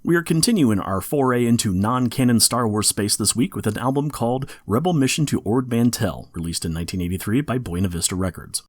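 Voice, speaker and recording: A man's voice: mid-range voice, animated, a little fast, hardly any pauses, no echo. A man hosting a podcast in scripted, informative narration. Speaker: podcast host.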